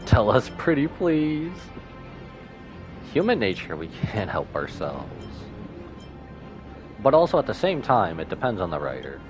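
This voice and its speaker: A man's voice: lowish voice, huge pitch swings, a little slow, pauses often. A man doing casual live gameplay commentary as a streamer, playfully reacting and musing aloud. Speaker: game livestreamer. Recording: music behind the voice.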